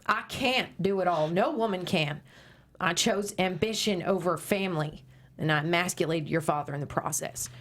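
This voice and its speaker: Actress as assertive conservative radio host, wounded pride, slightly low female voice, polished patter, table-read drama. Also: normal speed, natural voice. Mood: anguished.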